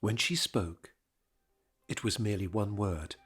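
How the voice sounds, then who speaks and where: A man narrating a scripted period drama in a measured, rhetorical manner. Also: fairly low pitch, a bit bright, slightly rough, soft background music.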